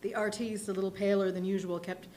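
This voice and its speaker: A woman reading literary fiction aloud as a theatre reader, with measured, deliberate phrasing. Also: medium pitch, level tone, a little mellow, a little hiss or hum.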